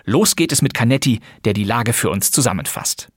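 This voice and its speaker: A man's voice: mid-range voice, expressive, brisk, slightly rough, no room sound, studio quality. A man reading a scripted introduction to a radio play as its host. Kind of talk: scripted narration.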